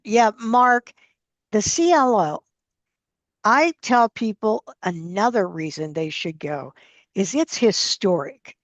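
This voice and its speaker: A woman's voice: mid-range voice, expressive, takes it slowly, no echo. A woman hosting a radio interview show, enthusiastic and asking her guest leading questions. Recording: dry, slightly dull lo-fi audio.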